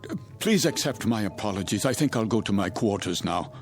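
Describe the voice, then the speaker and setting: An actor in a radio drama playing a troubled German passenger, formal, precise and hesitant. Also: male voice, lowish voice, lively intonation, brisk, soft background music, close to an everyday voice. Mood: uneasy, distracted.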